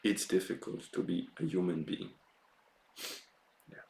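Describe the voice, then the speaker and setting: A man speaking in a reflective, personal monologue, halting and often repeating words and phrases. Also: low-pitched, steady tone, creaky, darkish tone. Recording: roomy, echoing sound.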